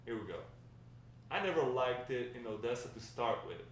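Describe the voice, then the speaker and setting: A talkative old grandfather played by a man reminisces in a conversational, hesitant way at a drama table read. He is warm and encouraging, with a mid-pitched voice. The recording is very echoey and distant from the mic, with faint noise. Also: lively intonation, close to an everyday voice. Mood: reminiscing. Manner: conversational, rambling storytelling with hesitant pauses. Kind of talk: amateur table read of a script.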